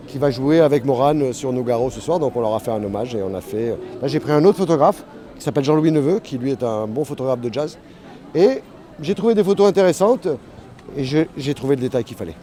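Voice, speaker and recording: A man's voice: medium pitch, expressive, fairly quick, dark tone. A man being interviewed as a painter, talking casually and enthusiastically outdoors at a festival. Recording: music behind, outdoor event.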